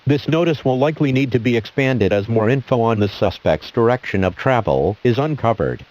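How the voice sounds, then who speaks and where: A man playing an emergency alert announcer, reading a scripted police warning in a formal, measured, official manner. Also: medium pitch, expressive, darkish tone, natural voice. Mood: formal, grave. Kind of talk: scripted emergency broadcast narration. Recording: dull lo-fi audio.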